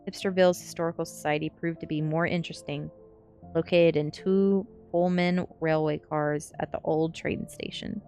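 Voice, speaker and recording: A woman's voice: low voice, fairly even intonation, normal speed, a little mellow, faint music behind. A woman streamer reading a book ASMR style and chatting casually with viewers in a playful way. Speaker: VTuber streamer.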